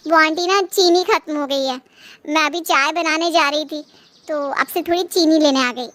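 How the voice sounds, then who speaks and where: Casting: woman as dutiful young cartoon daughter-in-law, very high voice, earnest and well-mannered. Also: moderate pace, fairly bright tone, no room sound. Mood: cheerful polite. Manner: earnest, courteous. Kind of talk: cartoon moral story acting.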